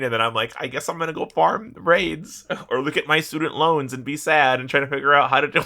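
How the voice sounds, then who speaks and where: A man co-hosting a casual gaming podcast, telling stories in a blunt, profanity-laced way. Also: mid-range voice. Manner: profane, blunt, animated storytelling. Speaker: podcast co-host. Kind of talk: casual chat about online gaming.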